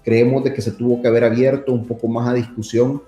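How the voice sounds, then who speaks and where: A Salvadoran man offers measured expert commentary. His voice is dark and mellow, recorded with noticeable room echo, and faint music runs behind it. His accent is Salvadoran. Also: mid-range voice, little pitch movement. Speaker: legal professional, panel speaker.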